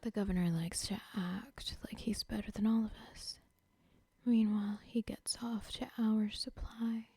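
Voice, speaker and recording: A scheming cartel boss , voiced by a woman, plots aloud in a close, breathy whisper for an ASMR roleplay. The whisper is husky with a gravelly edge, and every word is drawn out slowly. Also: medium pitch, steady tone, warm tone, no room sound.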